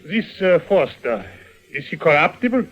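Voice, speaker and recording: A muffled old radio drama recording of an imperious male German tycoon. His voice sits a little high, and he is haughty, impatient and suspicious, making curt demands with great self-importance. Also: big pitch swings, normal speed. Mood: curt, demanding.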